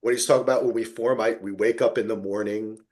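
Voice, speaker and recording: A man hosting a casual TV discussion podcast, rambling and halting, often checking in with listeners and swearing casually. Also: lowish voice, fairly even intonation, a little mellow. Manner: rambling, halting, checks in with "right?" and "you know". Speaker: podcast host.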